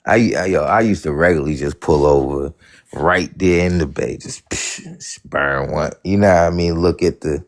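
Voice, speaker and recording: A man's voice: rumbling low, normal speed. An American man from Atlanta, born in New York, chatting casually in an emphatic, slangy way with some swearing.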